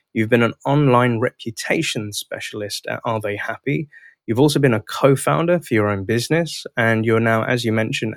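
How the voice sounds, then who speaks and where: A man's voice: mid-range voice, no echo, studio quality. Upbeat podcast host man, sing-song. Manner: enthusiastic, sing-song intro, polite "sir".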